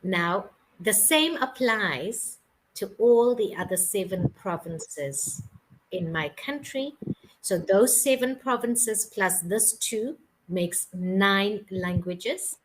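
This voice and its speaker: A woman's voice: mid-range voice, animated, takes it slowly, slight background noise, low-quality recording. A South African woman tutoring English on a video call, explaining patiently and checking that she is understood. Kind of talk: casual video-call conversation.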